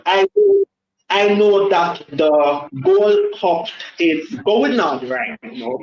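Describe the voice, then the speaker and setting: Rambling high-voiced male caller. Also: huge pitch swings, very slow. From Jamaica. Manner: rambling, hesitant with many ums. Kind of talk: casual call-in chat. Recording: echoey muffled phone-in line.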